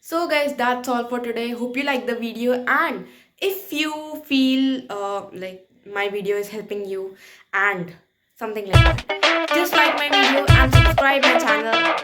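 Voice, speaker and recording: A woman's voice: fairly high pitch, a little slow, fairly bright tone, soft background music. A woman with an Indian accent whose first language is Hindi, giving casual spoken learning tips as a channel host in a rambling way full of fillers, slipping between English and Hindi. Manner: rambling, with many fillers and restarts.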